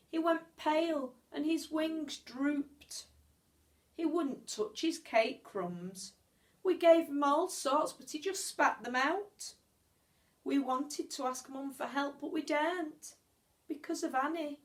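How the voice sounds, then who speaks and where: A woman reads a children's storybook aloud in a fairly high voice. Her pace is very slow, with expressive phrasing, drawn-out words and deliberate pauses, as a teacher would read to a class.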